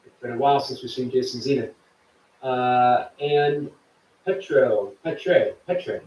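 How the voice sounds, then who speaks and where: A man's voice: medium pitch, measured pace, echoey room, recorded from a distance, rough recording. A man hosting a live stream, chatting casually and reading viewer comments aloud. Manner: chatty, warm to viewers, reads chat aloud. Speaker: livestream host.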